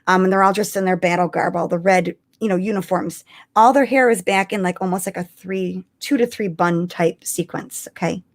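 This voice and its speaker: A woman co-hosting a casual fan discussion, telling things in an animated way and often checking in with the listener. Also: fairly low pitch, normal speed. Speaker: podcast co-host.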